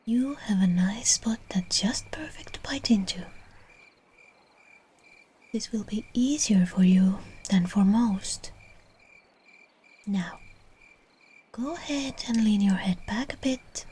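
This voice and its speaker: A woman playing a gentle, doting aristocratic female vampire in an ASMR roleplay, whispering softly in a coaxing, genteel manner. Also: mid-range voice, slow pace, long pauses, slight background noise, lightly acted. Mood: soothing, coaxing.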